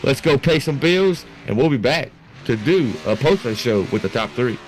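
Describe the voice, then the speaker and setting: A man giving excited, folksy live play-by-play commentary on a sim race, in an animated and colloquial way. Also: mid-range voice, moderate pace. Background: racing game vehicle noise.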